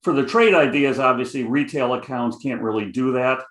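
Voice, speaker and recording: A man's voice: mid-range voice, normal speed, fairly bright tone, roomy sound. A middle-aged man with a Chicago accent, a guest options trader talking casually, rambling with many fillers. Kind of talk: casual interview chat.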